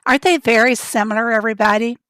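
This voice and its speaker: A woman hosting a casual livestream, chatty and rambling as she greets viewers from the chat, her voice raspy and hoarse from a summer cold. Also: a little high, steady tone, fast, a bit bright, dry recording, studio quality. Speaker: livestream host.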